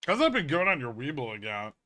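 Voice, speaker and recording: A man with a bright, forceful voice at a middle pitch talks as a livestream host. He explains in a casual, blunt and emphatic way, like an educator chatting with viewers, and swears freely. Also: very animated, fast. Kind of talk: casual livestream chat, answering viewer questions.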